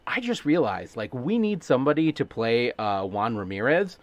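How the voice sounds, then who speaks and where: A man with a mid-range voice that swings widely in pitch, co-hosting a casual podcast. He talks in animated bursts, tossing in quick asides and casual swearing. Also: no echo. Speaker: podcast co-host. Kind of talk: casual chat.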